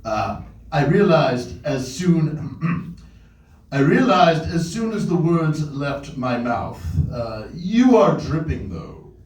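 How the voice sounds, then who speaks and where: A man playing an awkward, lonely guy who is desperate to please, halting and tactless, in a comedy table read. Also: a little high, big pitch swings, darkish tone. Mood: nervous politeness. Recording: roomy, distant mic at live event.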